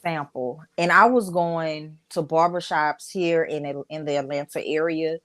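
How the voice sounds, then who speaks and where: A woman's voice: low-pitched, fairly even intonation, measured pace, a bit bright, dry recording, clean studio sound. A woman from Dallas with a Texas accent, a business owner chatting candidly as a podcast guest. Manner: candid, chatty, storytelling with fillers. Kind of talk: casual podcast interview.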